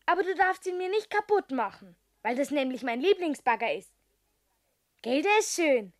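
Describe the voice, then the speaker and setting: A woman's voice: high voice, big pitch swings, normal speed. A woman voicing a small, stubborn girl in a children's radio play, childish and bossy. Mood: possessive.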